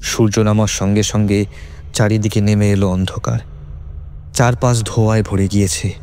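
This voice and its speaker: A man's voice: lowish voice, level tone, moderate pace, darkish tone, a little hiss or hum. A man reading a scripted horror story as a dramatic narrator. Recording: music under the voice. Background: background music.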